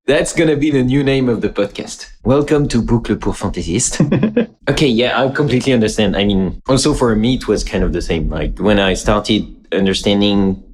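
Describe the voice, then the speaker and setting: A podcast host with a fairly low voice, chatting casually and playfully. He jokes a lot and laughs readily with his guest. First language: French. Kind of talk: casual interview chat.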